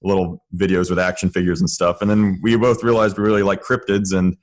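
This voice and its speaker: A man from Florida chatting casually and haltingly as a podcast guest. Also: deep voice, flat delivery. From Tampa, Florida. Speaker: podcast guest, audio drama creator. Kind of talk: casual interview chat.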